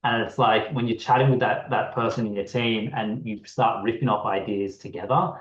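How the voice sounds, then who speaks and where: A man's voice: fairly low pitch, fairly even intonation, normal speed. A man co-founder of a creative AI tool, explaining in a loose, rambling interview chat over a video call. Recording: roomy, dull lo-fi call audio.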